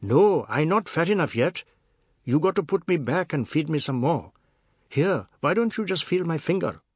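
A man doing a quick, high-pitched, panicky sick man. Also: lively intonation, gravelly, fairly bright tone, husky, dry recording, studio quality, exaggerated voice. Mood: mocking. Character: touchy, frightened, feverish man in his sickroom.

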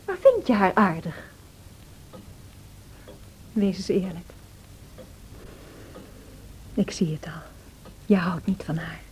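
A woman's voice: on the low side, big pitch swings, darkish tone. A woman playing a tempestuous, self-pitying young married woman in a radio drama, theatrical and emotional. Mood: reproachful, tense. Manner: theatrical, emotional, slow and deliberate. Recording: noisy old radio drama recording.

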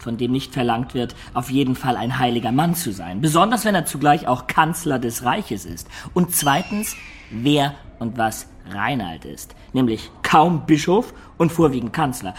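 A male actor as a sly, self-satisfied medieval storyteller in a radio play. He spins his tale with amused, theatrical relish at a middling pitch. There is clear noise behind the voice. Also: natural voice. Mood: amused explaining.

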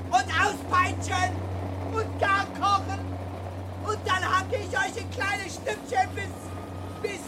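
A man's voice: very high voice, level tone, takes it slowly. An actor in a radio drama playing an outraged, flustered ordinary man, ranting and spluttering. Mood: furious. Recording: loud machinery and vehicle noise.

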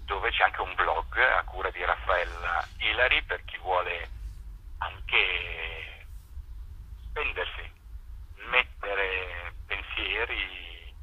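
A man gives an explanatory interview down a telephone line. His voice is fairly low and very bright, with a forceful, tinny edge. He pauses now and then to find the right word. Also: breathy edge. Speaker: theatre maker interviewed as guest. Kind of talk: radio interview, explanatory talk.

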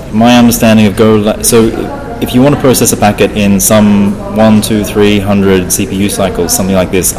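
A man answering technical questions as an interview guest in a measured, modest and thoughtful conversational way. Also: fairly low pitch. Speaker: interview guest, software developer. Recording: live recording with crowd chatter behind. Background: crowd chatter.

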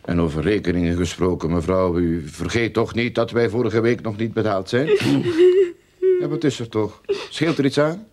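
A man playing a glib, money-minded private detective boss in a radio play, smooth-talking and mock-humble. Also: lowish voice, normal speed, darkish tone, lightly acted. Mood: soothing, unctuous.

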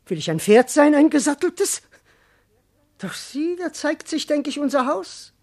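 An actor playing a boastful but cowardly servant in a classical verse radio comedy, declaiming self-importantly and muttering to himself. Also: male voice, very high voice, big pitch swings, measured pace, exaggerated voice. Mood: grumbling, relieved. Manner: theatrical, declamatory, self-important, shifting between muttering and proclaiming.

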